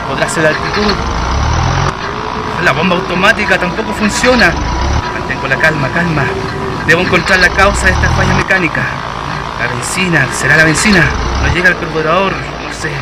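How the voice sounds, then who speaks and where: An actor playing a brave, excitable Chilean pioneer pilot who talks to himself theatrically in a radio drama. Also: male voice, fairly high pitch, normal speed, lightly acted. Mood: alarmed. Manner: theatrical, exclamatory, talking to himself. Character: Chilean pioneer aviator, brave, excited, anxious but determined. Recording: loud engine-like noise behind the voice.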